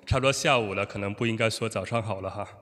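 A man relays a sermon in short chunks, his voice bright and forward. He fills the gaps with frequent hesitations as he catches each phrase. The church hall gives his voice a long echo. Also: lowish voice, fast. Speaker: interpreter. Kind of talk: consecutive sermon interpretation. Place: church sanctuary.

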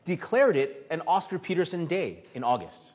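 A man from Canada preaching in a church hall, pausing phrase by phrase for an interpreter. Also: a little high, sing-song. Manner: halting, stops often for interpretation. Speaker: guest preacher. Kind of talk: sermon with live interpreter. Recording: echoey hall.

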